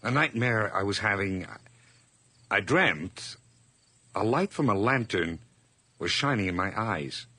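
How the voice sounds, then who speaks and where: A man's voice: fairly low pitch, animated, takes it slowly, long pauses, sharp tone, dry recording, exaggerated voice. An actor playing a nervous, over-polite hired man hiding guilt, stammering and effusive in an old radio horror drama. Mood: evasive, polite.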